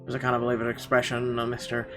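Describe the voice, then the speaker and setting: A man with a medium-pitched voice reads a visual novel's narration aloud in the manner of a let's player. Game music plays clearly behind him. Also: monotone, fast talker.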